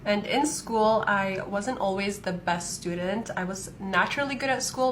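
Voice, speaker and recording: A woman's voice: mid-range voice, fairly bright tone, a little hiss or hum. Chatty young woman vlogger. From Palestinian, born in Saudi Arabia, raised in Canada. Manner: chatty, frequent "like" fillers. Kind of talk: casual get-ready-with-me storytelling.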